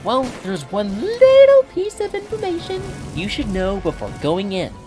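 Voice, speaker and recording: Guy doing a super bouncy game tutorial narrator voice, swoopy pitch, music playing behind. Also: moderate pace. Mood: instructive, teasing. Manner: lively, sing-song guide delivery. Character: hyped-up male game-guide narrator persona. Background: clear background music.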